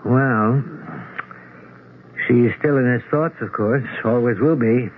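An actor playing a sensible, skeptical friend in a radio drama, asking brisk, polite, probing questions. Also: male voice, mid-range voice, close to an everyday voice. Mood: reassuring, probing. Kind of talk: 1970s radio drama acting. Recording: tinny, noisy old radio broadcast.

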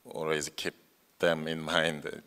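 A middle-aged man with a Korean accent, a film director on a panel, talking rapidly and halting when he switches to English. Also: extremely low pitch, little pitch movement, sharp tone, clear, resonant tone. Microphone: handheld mic.

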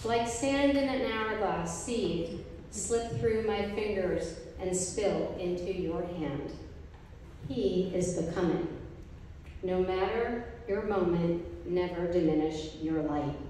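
A middle-aged woman reading a group poem aloud from paper pages at a gallery event, in a slow, measured recitation. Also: lowish voice, dark tone, recorded from a distance, a little hiss or hum. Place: art gallery, indoors, mid-sized room. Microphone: handheld mic, filmed from a distance. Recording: echoey gallery room, from afar.

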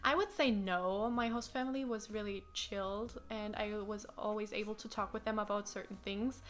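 A young woman whose first language is German, chatting casually and answering questions in her living room, full of fillers and acted-out quotes. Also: medium pitch. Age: young adult. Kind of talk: casual chat. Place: small living room with sofa, indoors.

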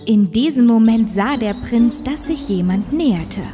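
A woman narrating a children's fairy-tale cartoon in a measured, clear way. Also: on the high side, animated, mellow, long reverb tail, faint music behind.

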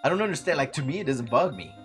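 A man's voice: on the high side, very animated, rapid-fire, soft background music. A young man live-streaming a video game from home, chatting loosely and cursing as he talks to his chat. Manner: loose, profane, talks to chat, jokes around. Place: home room, seen on webcam.

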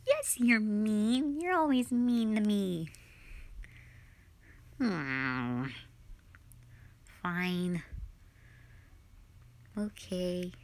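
A young woman playing a sulky, dramatic tsundere gamer girlfriend in an audio roleplay, with a whiny, pouty, drawn-out delivery. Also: medium pitch. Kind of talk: roleplay audio drama, intimate one-sided dialogue.

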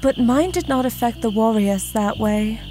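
A woman playing a solemn, ancient huntress in an audio drama, with formal, measured, archaic phrasing. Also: a little high, mellow, faint music behind, close to an everyday voice, poor audio. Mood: grave, steady.

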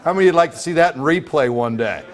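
A man's voice: a little high, animated, fast. A middle-aged American man preaching a church sermon, emphatic and repetitive, asking rhetorical questions. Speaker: pastor preaching. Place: church sanctuary, at a pulpit before a congregation.

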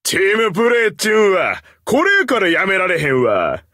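A man voicing a genial, confident middle-aged hero commander in a mobile game, with an Osaka Kansai accent, a husky voice and an expressive delivery. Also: high-pitched, no room sound, clean studio sound, exaggerated voice. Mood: elated. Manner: expressive, teasing, rallying. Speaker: game character voice actor. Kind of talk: Japanese mobile game character voice lines.

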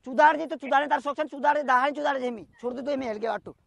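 A woman's voice: high-pitched, very fast, gravelly. A woman in a heated argument on a phone call, scolding abusively and repeating herself.

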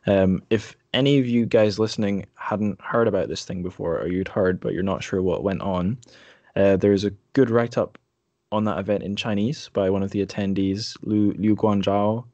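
A man's voice: lowish voice, moderate pace, dark tone, dry recording, highs cut off. A man hosting a podcast in a casual, conversational way, with wry, dry understatement. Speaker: podcast host. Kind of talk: casual interview chat.

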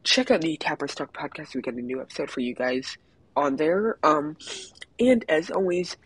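A young man hosting a mobile game channel, chatting casually with many ums, his voice a little raspy. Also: on the high side, normal speed, dry recording, low-quality recording. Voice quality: slightly raspy voice. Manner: hesitant, many ums, excited about items. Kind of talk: casual gameplay commentary.

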